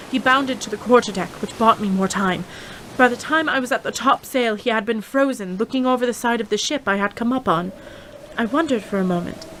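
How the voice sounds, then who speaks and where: A high-pitched young heroine of a pirate-era radio play, played by a woman, brave yet frightened. She tells her story in measured, dramatic narration. There is noticeable ambient noise behind her. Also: a bit bright, natural voice, low-quality recording.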